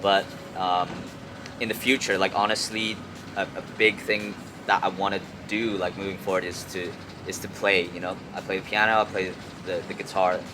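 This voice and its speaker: A young man from Hong Kong chatting casually as an interview guest, halting and slangy, often repeating words. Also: low-pitched, level tone. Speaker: music artist, interview guest. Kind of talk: casual chat. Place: outdoors on an urban rooftop. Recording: clear outdoor city traffic noise.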